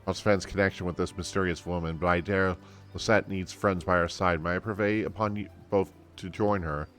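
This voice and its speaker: A man's voice: deep voice, fairly even intonation, brisk, a bit bright, soft background music. A man voicing a loyal, earnest noblewoman mentor from a fantasy game, in stately, old-fashioned phrasing. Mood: grave, courteous.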